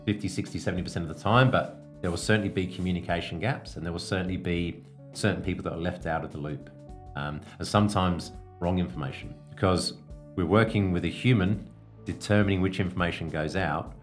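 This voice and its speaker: A man giving an informal, explanatory coaching talk as a podcast host, plain-spoken with hesitant phrasing and casual asides. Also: deep voice. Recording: music behind the voice.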